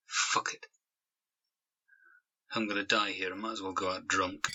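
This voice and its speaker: An anxious, questioning young man in an audio drama. The voice is fairly low, with a hard bright edge and a husky rasp, acted naturalistically. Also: level tone, a little slow, lo-fi sound, exaggerated voice. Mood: fatalistic, wry.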